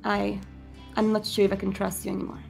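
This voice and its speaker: Sad vampire prince, played by a woman, hesitant and wounded, halting speech with a creaky edge. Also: mid-range voice, completely flat delivery, a little mellow, faint music behind. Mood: sad distrust.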